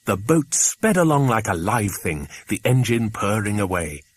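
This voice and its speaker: A man reading a children's adventure audiobook, an expressive scripted narration. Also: lowish voice, unhurried.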